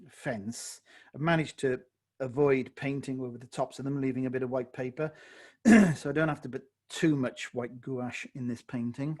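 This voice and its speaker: Hoarse man teaching watercolor. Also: medium pitch. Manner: relaxed, thinking aloud while painting, self-correcting. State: slightly hoarse, losing his voice. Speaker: watercolor tutor. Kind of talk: live online painting tutorial. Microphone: video call.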